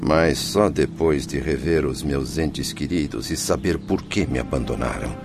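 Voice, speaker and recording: A man's voice: a bass voice, music in the background. A man with a Brazilian accent playing an anguished, stubborn spirit of a father in a radio drama, pleading emotionally for his family. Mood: sorrowful, insistent. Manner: pleading, emotional, drawn-out delivery. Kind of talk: melodramatic radio drama acting.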